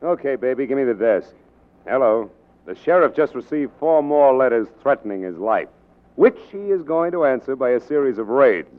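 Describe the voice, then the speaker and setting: An actor playing a cynical, flippant newspaper reporter in a 1940s radio drama, delivering dry sarcasm. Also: male voice, medium pitch, expressive, normal speed, close to an everyday voice. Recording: thin, narrow-band 1940s radio recording.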